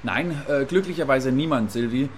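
A man's voice: medium pitch, moderate pace, a little hiss or hum, lightly acted. A man playing an earnest, wordy field reporter giving a live eyewitness account in a radio play. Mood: earnest, factual. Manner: earnest, wordy, hesitating now and then.

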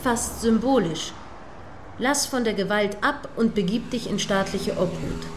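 Schauspielerin als spitze, empörte Live-Kommentatorin. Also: female voice, medium pitch, unhurried, background noise, lightly acted. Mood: indignant. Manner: judgmental, describes events step by step. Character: prim, indignant female live TV news commentator. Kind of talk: radio play as breathless live news commentary.

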